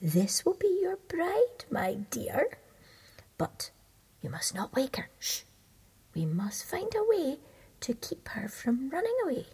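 A woman voicing a scheming old mother toad in a breathy, whispery voice. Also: high-pitched, wildly swooping pitch, slow pace, warm tone, exaggerated voice, rough recording. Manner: whispery, cackling.

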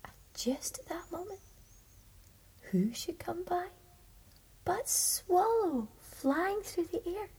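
A woman narrating a fairy tale in a soft, mostly unvoiced whisper, slow and gentle. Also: very high-pitched, lively intonation. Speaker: storyteller.

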